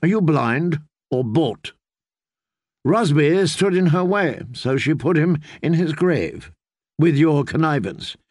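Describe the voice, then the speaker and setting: A man's voice: a little high, lively intonation, slow, a little mellow, no room sound, studio quality. A man voicing a cold, scornful queen who interrogates and mocks in an audiobook. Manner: cutting, mocking, interrogating. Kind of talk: audiobook character voice.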